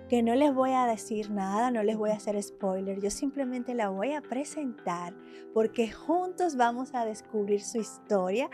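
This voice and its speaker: A woman's voice: a little high, mellow. A woman hosting a podcast, welcoming her guest with enthusiasm and asking questions. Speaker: podcast host.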